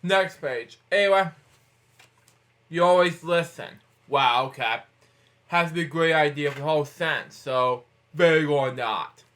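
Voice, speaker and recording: A man hosting an informal bedtime story read-aloud, speaking in a halting, repetitive way with frequent interjections and asides. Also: on the high side, fairly bright tone.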